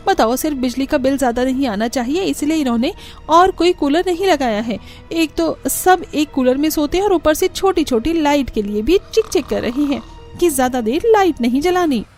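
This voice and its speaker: Woman acting a high-voiced young cartoon bride. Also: expressive, normal speed, a little mellow. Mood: exasperated. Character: hot, exasperated newlywed young daughter-in-law. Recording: music under the voice.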